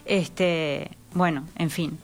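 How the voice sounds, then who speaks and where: A woman with a Uruguayan accent softened by time abroad talks as an interview guest. Her voice sits a little low, and she pauses often as she thinks. She laughs lightly between her answers. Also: steady tone. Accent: Uruguayan Spanish with traces of Spain. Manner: thoughtful, hesitant, laughs often. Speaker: guest writer. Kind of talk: casual interview talk.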